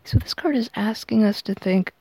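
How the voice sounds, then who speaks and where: A woman hosting a tarot reading, explaining it in measured, unscripted phrases. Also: mid-range voice, darkish tone, dry recording. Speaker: tarot reader and podcast host.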